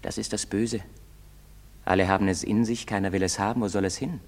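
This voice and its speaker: An actor playing an anxious, brooding young outcast in love in a stage drama, speaking haltingly. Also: male voice, on the low side, steady tone, close to an everyday voice. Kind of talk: stage-drama acting in German. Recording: very reverberant hall sound.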